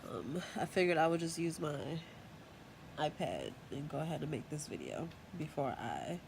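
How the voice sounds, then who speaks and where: A woman's voice: low-pitched, sing-song, normal speed, vocal fry, background noise. A young woman with a stuffy nose from a cold, talking casually and rambling with lots of fillers, recorded on a tablet at home. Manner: rambling, chatty, full of fillers. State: congested from a cold. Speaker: channel host. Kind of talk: casual vlog monologue. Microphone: tablet microphone.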